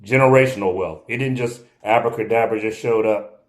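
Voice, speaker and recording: Emphatic lower-voiced man, insistent. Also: a little fast. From United States (born in Oklahoma). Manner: repetitive, emphatic, insistent, occasional laughs. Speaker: video commentator. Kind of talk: impassioned spoken commentary.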